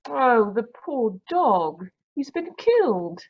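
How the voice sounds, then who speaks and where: A woman playing an anxious, tender doctor's wife with a gently pleading manner in a radio mystery. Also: fairly high pitch, sing-song, slow, slightly rough, heavily acted. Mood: concerned. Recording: dull, distant online-call audio.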